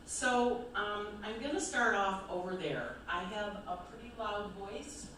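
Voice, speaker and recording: A woman's voice: medium pitch, animated, measured pace, a bit bright. An older woman with an American Midwest accent, an author giving a chatty, informal talk to a live audience, recorded on a distant mic in a large hall. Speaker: author and speaker. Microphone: distant room mic. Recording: very echoey hall, from far off, faint noise.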